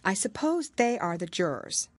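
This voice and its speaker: Curious storybook little girl, played by a woman: opinionated, animated pitch, slightly husky. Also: normal speed, no echo, studio quality. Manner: curious, indignant.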